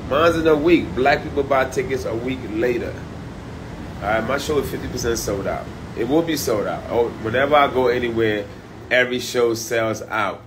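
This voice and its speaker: A man livestreaming from a high-rise room by the beach on a phone at arm's length, talking loud, profane and animated. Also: moderate pace, noisy background. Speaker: comedian livestreaming. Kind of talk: casual live stream chat. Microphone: phone held at arm's length.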